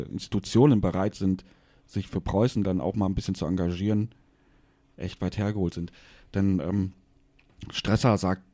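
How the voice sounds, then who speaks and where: A man's voice: low voice, warm tone. A man from Westphalia co-hosting a casual podcast chat, explaining things in a matter-of-fact way. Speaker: podcast co-host.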